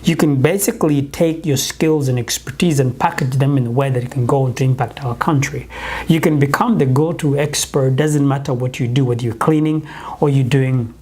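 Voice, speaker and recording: A man's voice: medium pitch, brisk, a little mellow, slightly rough. A man in his thirties with a Ugandan accent, giving an earnest, urging motivational talk to camera on a lapel mic in a small office kitchen. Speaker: business coach.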